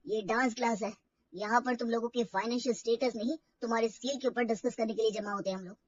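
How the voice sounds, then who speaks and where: A woman's voice: fairly high pitch, steady tone, quick pace, recorded from a distance, muffled recording, slight background noise, lightly acted. A woman voicing a fair-minded male dance teacher in a story, firm and instructive. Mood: stern.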